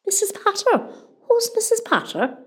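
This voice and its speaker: A woman's voice: extremely high pitch, very animated, normal speed. An actress with a West Cork Irish accent playing a chatty, nosy, melodramatic middle-aged mother on a hospital ward in a comedy radio play. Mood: chatty, dramatic. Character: chatty, dramatic Irish mother, patient groggy after surgery.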